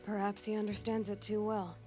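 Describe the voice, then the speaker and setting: Casting: woman as resolute young female hunter, medium-pitched, direct, earnest game-drama read over faint music. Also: flat delivery, brisk, warm tone, lightly acted. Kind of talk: dramatic video game acting.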